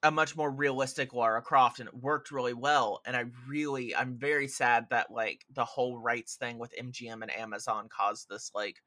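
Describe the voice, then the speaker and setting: Bright-voiced man chatting on a podcast. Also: medium pitch, fairly even intonation, moderate pace, hardly any pauses, dry recording. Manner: rambling, enthusiastic, informal. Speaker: podcast speaker. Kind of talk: casual film and video game discussion.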